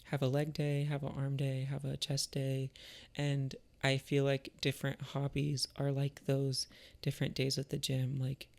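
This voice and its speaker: A man talking in a casual, reflective monologue, thoughtful and halting, with a creaky voice. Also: mid-range voice, darkish tone. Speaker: artist and musician vlogger.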